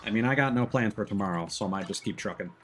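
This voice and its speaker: A man's voice: on the low side, fast, fairly bright tone, faint music behind. A man giving casual livestream commentary as he plays a game. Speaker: game streamer.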